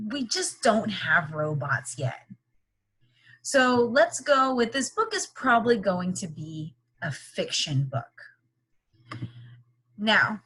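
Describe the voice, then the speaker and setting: Expressive, slightly husky woman teaching young children. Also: fairly high pitch, poor audio. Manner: instructive, question-asking, simple encouraging phrasing for children. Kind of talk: children's read-aloud lesson.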